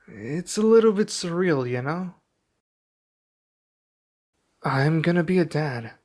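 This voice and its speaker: A man playing a young king and doting expectant father in an intimate ASMR roleplay, speaking softly and affectionately with some hesitation. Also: fairly high pitch, animated, slow pace. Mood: awed, grateful. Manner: soft, affectionate, hesitant delivery.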